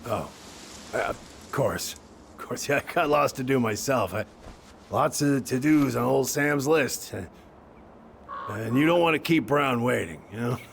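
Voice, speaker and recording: An actor playing a kindly, awkward older man in a video game, halting and rambling as he speaks. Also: mid-range voice, gravelly, a little hiss or hum. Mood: flustered. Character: kindly, awkward, wistful older family friend named Sam. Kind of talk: naturalistic video game acting.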